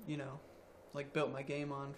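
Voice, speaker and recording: A young man around twenty, a college student athlete, answering interview questions quietly with many ums. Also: medium pitch, completely flat delivery, moderate pace, a little hiss or hum. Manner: quiet, soft-spoken, hesitant with ums.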